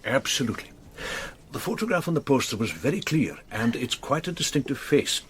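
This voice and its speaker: An actor playing a prim, talkative bank manager in a radio drama, explaining things in a measured, businesslike way. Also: male voice, mid-range voice, sing-song, fairly bright tone, slight background noise. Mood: earnest, explanatory.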